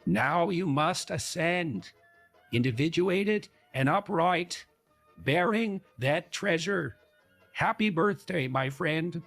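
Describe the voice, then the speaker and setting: A man giving a grandiose, deliberate birthday tribute. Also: a little high, expressive, a bit bright, faint music behind. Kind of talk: solemn tribute speech.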